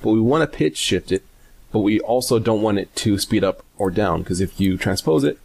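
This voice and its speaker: A man giving a casual software tutorial, hesitant and informal as he thinks aloud. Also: fairly low pitch, lively intonation, moderate pace, gravelly, breathy edge. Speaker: tutorial host.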